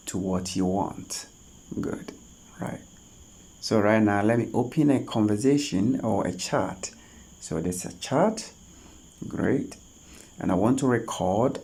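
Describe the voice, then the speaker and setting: A tutorial host talking through phone app steps one at a time, in a halting, instructional way. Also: mid-range voice, mellow, slightly rough. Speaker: host of a tech tutorial channel. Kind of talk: spoken step-by-step screen tutorial.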